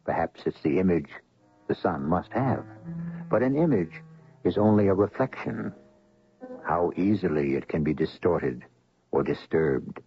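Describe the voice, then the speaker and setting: A man hosting a radio mystery drama, narrating from a script in a portentous, deliberately phrased style. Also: sits low, expressive. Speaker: host and narrator. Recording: dull old radio recording, music behind. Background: music.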